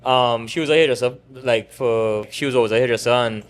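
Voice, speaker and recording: A man in his thirties from Trinidad, with a Trinidadian accent, talking relaxed and rambling as a barbershop owner in an interview. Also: medium pitch, a little fast. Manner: relaxed, rambling with restarts and laughs. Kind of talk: casual interview chat.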